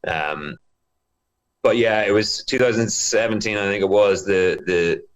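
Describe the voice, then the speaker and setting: A Northern Irish man with a deep-pitched voice speaks as a guest in a casual interview. He talks thoughtfully and rambles a little as he works through his answers. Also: a little slow, clean tone, dull audio. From Northern Ireland. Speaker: guest, singer-songwriter.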